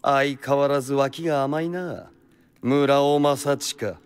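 A man playing a menacing swordsman of about thirty in a radio drama, formal, deliberate and disdainful. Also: medium pitch, fairly even intonation, clear, resonant tone, no echo, close to an everyday voice. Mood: cold menace. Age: 30s. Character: cold, menacing masked swordsman around thirty.

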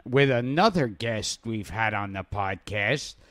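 A man's voice: on the low side, animated, unhurried, a bit bright, no echo. A man co-hosting a podcast interview, asking friendly, conversational questions. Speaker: podcast co-host. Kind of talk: interview chat.

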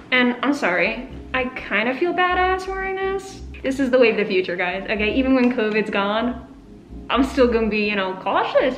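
A woman's voice: a little high, roomy sound. A young woman in her twenties chatting to camera in a bubbly, slangy way about what she brings to the gym. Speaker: fitness vlogger. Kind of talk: casual chatty product rundown. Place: bright indoor home kitchen.

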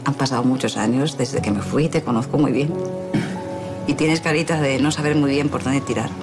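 An actress in her forties playing a spirited Spanish woman in prison who speaks in a quick, earnest, candid way. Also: very deep voice, fairly even intonation, over music. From Spain. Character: spirited Spanish woman jailed for a crime she denies. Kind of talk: television drama acting. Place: small office-like prison visiting room, indoors.

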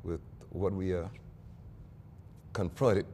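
Older male pastor, very low mellow voice, measured and earnest, lapel mic, faint hum. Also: steady tone. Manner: measured, earnest, with small restarts. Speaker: pastor and convention guest. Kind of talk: studio interview. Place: small TV studio set.

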